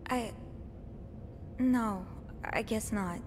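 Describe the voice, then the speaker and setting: Young female maid for a narrative game: soft, curious, caring, naturalistic, slightly high voice over clear background rumble. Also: extremely slow. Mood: gentle, apologetic. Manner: hesitant, soft-spoken, sympathetic. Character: Sophie, gentle curious young woman hotel maid.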